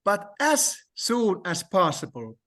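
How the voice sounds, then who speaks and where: A man whose first language is Finnish, talking slowly and hesitantly in a discussion, with many fillers and restarts. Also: high-pitched, wildly swooping pitch, a bit bright. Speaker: language learner sharing experience. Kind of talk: conversational discussion.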